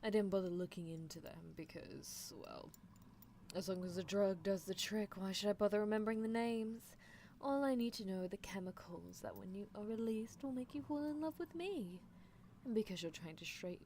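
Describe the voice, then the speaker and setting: An obsessive female mad scientist, played by a woman in an ASMR roleplay, speaking in a mid-pitched voice. She coaxes and talks down to her captive love interest with menacing affection. There is faint but steady noise in the recording. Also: normal speed, close to an everyday voice. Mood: clinical, possessive. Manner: coaxing, condescending, menacingly affectionate.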